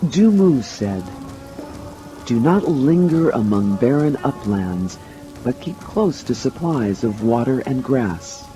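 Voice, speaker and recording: A man with a mid-pitched, mellow and dark voice reads quotations for an audiobook. Faint music plays underneath his scripted delivery. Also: big pitch swings, slow, low-quality recording. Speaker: audiobook narrator. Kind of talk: scripted reading of quoted commentary.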